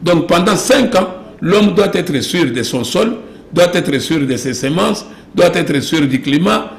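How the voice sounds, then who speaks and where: A man from DR Congo with a Congolese French accent, speaking insistently as a political interview guest, repeating phrases and posing rhetorical questions. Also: fairly high pitch. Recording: roomy echo with clear background noise.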